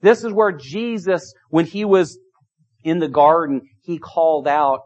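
A man's voice: fairly high pitch, measured pace, a little mellow. A middle-aged man preaching a sermon, emphatic and repetitive, his volume rising and falling. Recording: dull lo-fi sound.

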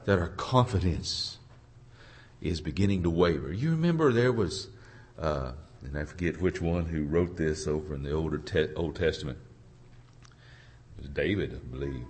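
Male preacher, low voice, hoarse from a cold, halting delivery, folksy informal sermon. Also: expressive, a little mellow. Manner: halting, searching for words, throat-clearing. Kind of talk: informal sermon with congregation responses.

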